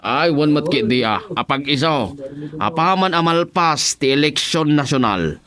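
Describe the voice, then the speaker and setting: A man playing a teasing, excitable village councilman in a radio drama comedy. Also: a little high, a little slow, crowd noise. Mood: teasing, amused.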